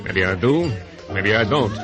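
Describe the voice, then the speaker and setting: An actor playing a laconic, gruff waterfront barkeep, deadpan and terse, in old-time radio comedy style. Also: male voice, lowish voice, a little slow, over music.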